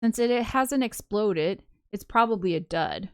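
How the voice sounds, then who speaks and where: A woman's voice: medium pitch, expressive, moderate pace, clear, resonant tone, dry recording, clean studio sound. A woman reading a visual novel aloud, slipping into casual, chatty commentary with giggly asides. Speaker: co-host of a gaming show.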